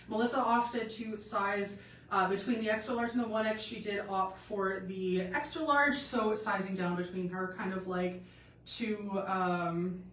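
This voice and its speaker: A woman's voice: mid-range voice, a little hiss or hum. Stuffy-nosed chatty woman host. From Canada. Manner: chatty, rambling with many fillers. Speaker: boutique live-stream host. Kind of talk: casual live-stream try-on chat. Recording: echoey room, mic at a distance.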